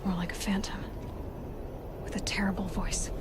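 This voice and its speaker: An actress playing a determined, defiant young huntress in a video game, speaking directly and insistently, full of questions. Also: female voice, medium pitch, little pitch movement, normal speed, whispered, natural voice. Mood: uneasy, pensive. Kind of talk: video game cutscene dialogue.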